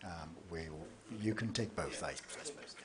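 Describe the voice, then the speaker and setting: Low-voiced moderator man. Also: lively intonation. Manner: measured, with frequent ums.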